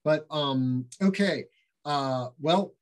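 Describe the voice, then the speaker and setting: Casting: male host, unhurried and rambling, fairly high voice, video call. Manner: slow, rambling with many fillers. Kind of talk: hosting a virtual panel.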